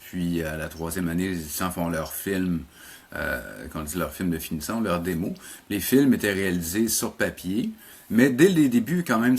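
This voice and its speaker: A middle-aged man with a low voice and a Quebec French accent answers interview questions like a teacher. He explains casually and carefully, rephrasing as he goes. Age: middle-aged or older. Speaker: interview guest, animation teacher.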